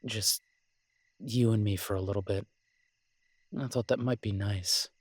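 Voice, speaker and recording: Low, husky, tender werewolf boyfriend played by a man. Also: steady tone, unhurried, a little mellow, no room sound. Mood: tender, relaxed. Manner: hesitant, tender, conversational, lightly teasing. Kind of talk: romantic roleplay audio, intimate casual conversation.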